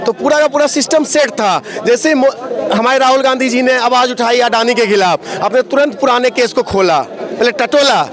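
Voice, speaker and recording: Very high-voiced fiery young male protester. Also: normal speed. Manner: fiery, accusatory, repetitive. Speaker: student protester. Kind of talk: impassioned protest speech to a reporter. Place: outdoor street protest. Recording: outdoor crowd noise behind.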